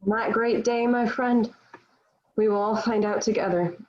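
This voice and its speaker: A woman's voice: fairly high pitch, steady tone, normal speed, a little mellow, close to an everyday voice. A woman playing a defiant, devout young warrior held captive, with solemn, declamatory phrasing in a table read of a historical drama, on a dull lo-fi recording. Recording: dull, lo-fi home online recording.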